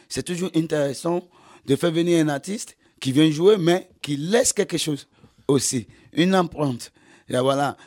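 Nigerian man, halting and filler-heavy. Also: fairly high pitch, darkish tone. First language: English. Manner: halting, many fillers and restarts. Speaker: festival organizer and artist. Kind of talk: radio interview.

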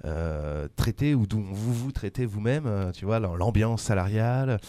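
A man's voice: lowish voice, expressive, normal speed, dark tone, no echo. A man hosting a radio interview, asking friendly, prompting questions with fillers. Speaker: radio host.

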